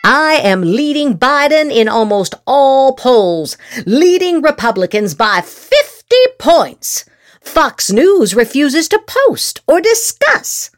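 A woman's voice: high-pitched, big pitch swings, takes it slowly, dry recording, studio quality. A woman podcast host reading political social media posts aloud dramatically, with theatrical, exaggerated inflection.

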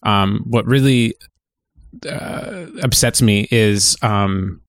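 Halting man chatting on a podcast, dry sound. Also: on the low side, no room sound. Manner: halting, self-correcting. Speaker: podcast co-host. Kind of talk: casual podcast chat.

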